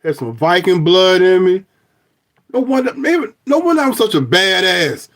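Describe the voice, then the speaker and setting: A man's voice: high voice, very animated, moderate pace, fairly bright tone. A middle-aged man talking through his DNA results on a phone, rambling and joking with frequent restarts. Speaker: vlogger. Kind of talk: casual review of DNA results.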